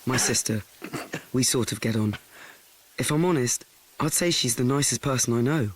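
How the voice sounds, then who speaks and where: A wry teenage boy, played by an actor, with a medium-pitched male voice. He narrates his own life in a radio play, frank and awkward, in a deadpan, confiding, self-deprecating way. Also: normal speed, lightly acted, poor audio.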